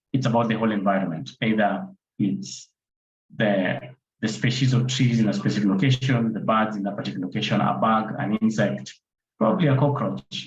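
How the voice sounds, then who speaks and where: Kenyan man, lowish voice, panel explainer, rhetorical questions, echoey room sound. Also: a little mellow. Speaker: conservation photographer and filmmaker, panelist. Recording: dull, roomy online-call audio.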